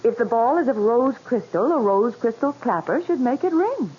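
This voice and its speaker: Animated, headstrong wife on old radio. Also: female voice, fairly high pitch, moderate pace, slightly rough. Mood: eager, confident. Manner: animated, teasing, self-assured. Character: headstrong, breezy doctor's wife, insistent and dismissive of superstition. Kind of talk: 1940s radio mystery acting. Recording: dull, noisy old 1940s radio recording.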